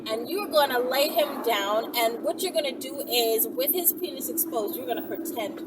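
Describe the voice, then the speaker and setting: A woman telling stories to her viewers in a casual, playful, slangy and animated way while riding in a vehicle. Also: high voice, normal speed. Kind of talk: casual chatty storytelling. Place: moving vehicle while driving. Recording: loud vehicle noise, distant mic. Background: loud road and vehicle noise.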